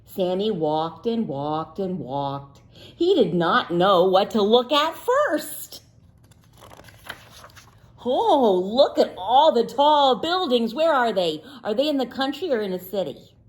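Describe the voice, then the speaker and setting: A woman teacher reading a picture book aloud to young children, pausing to ask them questions in a playful, sing-song way. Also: medium pitch, big pitch swings. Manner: slow and deliberate, pausing for answers.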